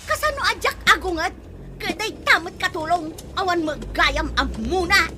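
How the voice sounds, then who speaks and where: A woman's voice: extremely high pitch, expressive, normal speed, very noisy. A woman playing an irritable, bossy female schoolteacher who scolds in exasperation in an Ilocano radio comedy drama. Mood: exasperated.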